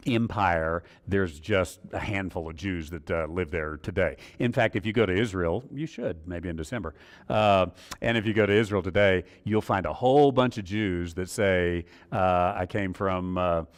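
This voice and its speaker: A man's voice: fairly low pitch, fairly bright tone, smooth. A man teaching a Bible study in a rambling, conversational way, full of fillers and small chuckles. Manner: rambling, many uh fillers, chuckles at own asides.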